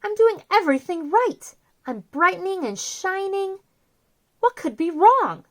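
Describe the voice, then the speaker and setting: Female moon character for a picture book a woman reading, dreamy yet self-assured, high, lively delivery. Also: a little slow, full character voice. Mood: puzzled.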